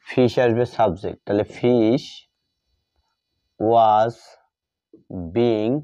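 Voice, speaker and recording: A man's voice: mid-range voice, mellow, dry recording. A man with a Bengali accent teaches grammar, repeating phrases and spelling words out as he writes examples. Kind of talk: classroom grammar lesson, writing out examples while explaining. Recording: dull lo-fi recording.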